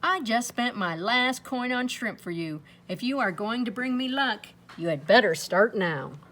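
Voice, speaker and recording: A woman's voice: a little high, animated, measured pace, a bit bright, pitched above the performer's own voice. A woman voicing a greedy, ungrateful male flower seller from a storybook, speaking with grumbling, wishful emphasis. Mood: impatient.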